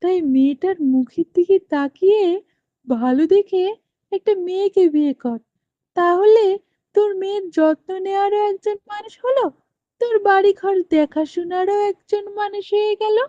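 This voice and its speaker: A woman's voice: extremely high pitch, moderate pace, dark tone, dry recording. A woman voicing a persuasive, scheming village friend who arranges a marriage in a cartoon. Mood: coaxing. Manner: persuasive, coaxing. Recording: dull lo-fi dry dubbing.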